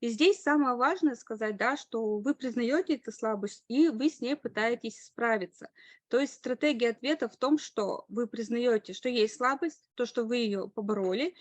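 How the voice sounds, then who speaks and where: A woman speaker on a webinar, giving career advice in an explanatory way with frequent pauses and hesitations. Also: fairly high pitch, no room sound. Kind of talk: online webinar talk.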